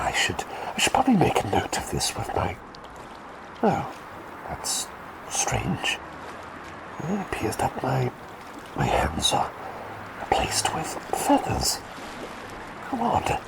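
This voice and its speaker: A man's voice: on the low side, vocal fry, exaggerated voice, poor audio. A man voicing a quirky, eccentric male plague doctor who has turned into a small talking bird, chatting and rambling in a whispered roleplay. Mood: bemused whisper. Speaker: ASMR roleplay performer.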